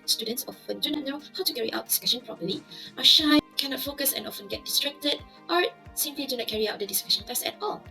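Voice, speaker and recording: Woman, high-ish voice, formal upbeat presenter, quick delivery. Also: bright, forceful tone. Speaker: English teacher. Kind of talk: scripted project presentation.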